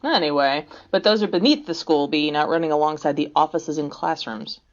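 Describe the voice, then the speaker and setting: A woman's voice: deep voice, a little fast, lo-fi sound. A woman playing a practical, level-headed skeptic in an audio drama, with a dry, matter-of-fact way of talking. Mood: skeptical.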